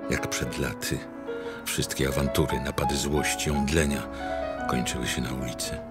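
A man performing as the grave narrator of a dark mystery audio drama, reading scripted literary prose. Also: extremely low pitch, steady tone, moderate pace. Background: loud music.

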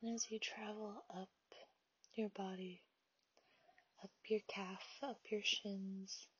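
Woman whispering a guided meditation real slow, breathy, kinda muffled dry audio. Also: mid-range voice, flat delivery. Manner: soft, half-whispered, slow and soothing. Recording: dull lo-fi dry recording.

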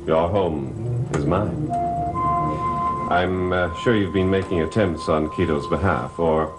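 An actor playing the brooding, commanding master of a mansion in a gothic soap opera, formal and theatrical. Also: male voice, sits low, takes it slowly. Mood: guarded. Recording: old TV soundtrack, music behind.

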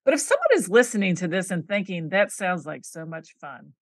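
A woman's voice: lowish voice, sing-song, brisk, no echo, studio quality. A woman hosting a podcast, asking long, encouraging questions. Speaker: podcast host. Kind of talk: interview questions.

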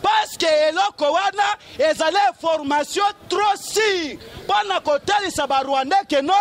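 High-pitched, declamatory Congolese male orator. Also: moderate pace, slight background noise. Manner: declamatory, emphatic, often shouting. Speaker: political activist, street orator. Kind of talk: impassioned street political speech. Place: outdoors on a street among onlookers. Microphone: handheld microphone.

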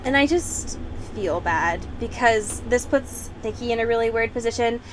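A woman's voice: fairly high pitch, animated, moderate pace, background noise. A young woman hosting a podcast in a casual, sweary way, peppering her talk with "like". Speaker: podcast host.

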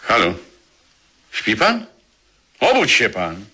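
Lively, brisk barman. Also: on the low side, slightly rough, full character voice. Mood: brisk, coaxing. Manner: colloquial, brisk, coaxing. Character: brisk barman humouring a drunk regular. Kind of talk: 1970s Polish radio drama acting.

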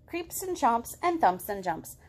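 A woman in her thirties reading aloud to young children as a storytime host, expressive and full of questions to draw them in. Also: fairly high pitch, a little slow, breathy edge. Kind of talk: animated picture-book read-aloud for young children. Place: living room at home.

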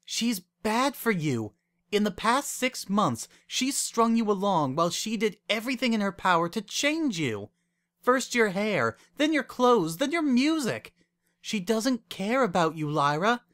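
A man's voice: sits high, animated, unhurried, fairly bright tone, pitched above the performer's own voice. A man voicing an earnest, worried young female pony who pleads urgently, in a story reading. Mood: urgent, insistent.

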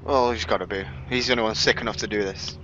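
A man's voice: on the low side, fairly even intonation, quick pace, a bit bright, a little hiss or hum. A young man, casually improvising his part in a video game roleplay. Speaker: gaming roleplayer. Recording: lo-fi game mic, music behind.

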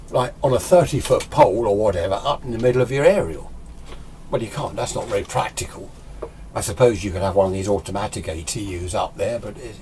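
Older British man, low voice, noisy room. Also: darkish tone. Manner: conversational, emphatic, with rhetorical questions. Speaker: amateur radio enthusiast presenting a tutorial. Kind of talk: informal unscripted explanation. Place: small indoor radio workshop full of equipment. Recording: clear background room noise.

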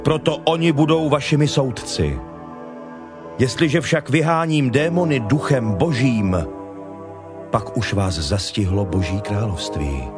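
A man playing Jesus as a solemn, stern, authoritative teacher in a deliberate, declamatory dramatized Bible reading. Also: mid-range voice, lightly acted. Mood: firm, reasoning. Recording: music playing behind the voice.

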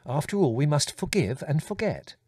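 A man's voice: fairly high pitch, sing-song, normal speed, a little mellow, slightly rough, no echo, clean studio sound, voice pushed up. A man voicing a flustered, vague mother who talks in a fluttery way.

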